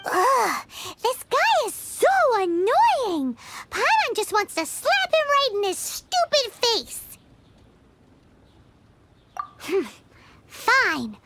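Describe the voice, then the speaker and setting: A woman's voice: very high voice, huge pitch swings, very slow, pauses often, heavily acted. A woman voicing a tiny, bratty floating female companion who whines and talks about herself by name. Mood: annoyed. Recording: noisy game audio.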